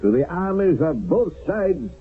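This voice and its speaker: Stern village leader, slow and solemn. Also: male voice, fairly high pitch, very animated, breathy edge, exaggerated voice. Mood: stern, resolute. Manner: formal, measured, deliberate. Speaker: actor in a radio drama. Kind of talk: radio drama acting. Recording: tinny, hissy old radio broadcast.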